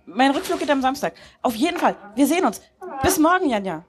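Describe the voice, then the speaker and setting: A woman's voice: high-pitched, very animated, brisk. A woman playing a Russian concert musician in a stage radio drama, startled and nostalgic about an old friend. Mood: delighted. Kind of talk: live stage audio drama reading. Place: tented festival stage.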